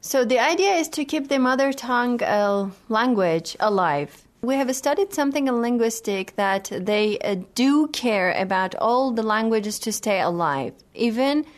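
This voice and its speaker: A woman with a slightly raised pitch hosts a radio discussion. She talks in a measured, careful way and pauses on fillers between her thoughts. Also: animated. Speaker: radio show host. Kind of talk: scripted radio talk show discussion.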